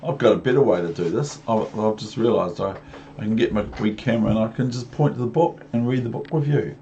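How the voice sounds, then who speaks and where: A man's voice: fairly low pitch, quick pace, dark tone, highs cut off, slight background noise. A man with a New Zealand accent reading a storybook to young children, rambling into chatty asides and questions for the listener. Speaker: storybook reader.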